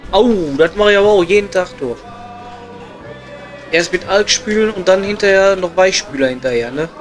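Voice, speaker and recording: A man acts out a gruff but chummy coal miner from an improvised comedy audio play. His voice sits high for a man, with a Ruhr German accent and blunt, slangy pub banter. Faint music plays behind him. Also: moderate pace, lightly acted.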